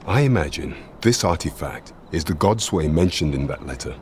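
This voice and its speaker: Fantasy game royal advisor: very deep, grave, measured and courtly, archaic diction, slight ambient noise behind. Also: male voice, animated, darkish tone. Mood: grave, deliberate. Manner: formal, deferential, measured.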